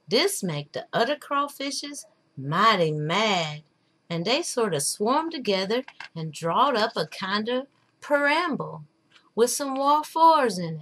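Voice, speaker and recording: A woman's voice: on the high side, a bit bright, full character voice. A woman voicing a patient, wry old man telling a folktale in drawling, folksy dialect.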